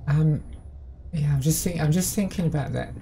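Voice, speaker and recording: Southern english lady streaming a game, chatty and rambly, kinda fry-ish dark voice. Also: low-pitched, normal speed, slight background noise. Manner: chatty, rambling, with frequent ums. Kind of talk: casual let's-play commentary.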